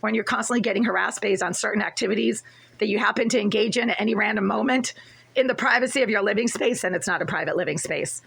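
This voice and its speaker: A woman with a gravelly, husky voice that sounds short of breath. She piles up accusations in long, relentless lists, agitated and insistent, as she records herself in a cramped apartment bathroom. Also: mid-range voice, quick pace, fairly bright tone. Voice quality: raspy. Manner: agitated, relentless, repetitive listing. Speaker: tenant recording a complaint. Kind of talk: angry first-person complaint vlog. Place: small apartment bathroom, then hallway.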